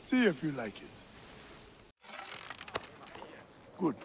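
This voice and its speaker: An actor playing a warm, teasing village friend of a warrior in a video game, speaking expressively and familiarly. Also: male voice, fairly high pitch, sing-song, fast, creaky, noisy background. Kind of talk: video game cutscene acting.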